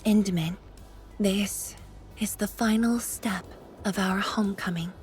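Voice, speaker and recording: Slow, soft, solemn woman leader voiced by actress. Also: medium pitch, steady tone, faint music behind, close to an everyday voice. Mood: solemn, wistful. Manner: slow, stately, reflective. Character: solemn, wistful woman leader, grave and poetic. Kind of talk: scripted game voice acting.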